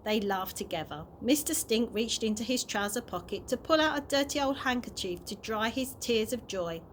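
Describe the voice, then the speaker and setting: A woman's voice: a little high, lively intonation, moderate pace, slight background noise. A woman narrating a children's chapter book aloud as a storyteller. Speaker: early years practitioner reading stories to children.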